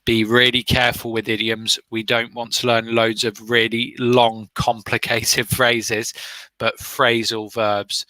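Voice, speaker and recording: A man's voice: medium pitch, flat delivery, measured pace, pushed and bright, no room sound, rough recording. A man who is a former examiner, asking practice questions and giving encouraging, step-by-step feedback over an online video call. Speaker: former IELTS examiner running speaking practice.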